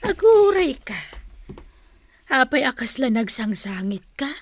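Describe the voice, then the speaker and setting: A nosy but sympathetic village friend in a radio drama. Her voice is very high and closely recorded, with a northern Philippine accent. She speaks in a familiar, prying way. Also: very animated, a little slow, dry recording, exaggerated voice. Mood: concerned.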